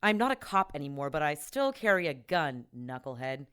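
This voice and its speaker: A woman's voice: low-pitched, very animated, normal speed, a bit bright, clear, resonant tone. A woman playing a tough, exasperated ex-cop in an audio drama, with a blunt, sardonic manner. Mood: skeptical. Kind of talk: naturalistic audio drama acting.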